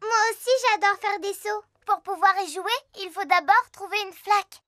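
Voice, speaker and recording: A woman's voice: extremely high pitch, moderate pace, sharp tone, no room sound, studio quality. A woman voicing a playful, boastful little girl pig with a bubbly, childlike delivery in a French children's cartoon. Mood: eager.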